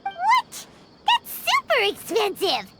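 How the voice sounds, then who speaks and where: A woman voicing a tiny, excitable, blunt childlike fairy companion in a video game, squeaky and exclamatory. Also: takes it slowly, very noisy, heavily acted. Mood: shocked. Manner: squeaky, exclamatory, third-person self-reference.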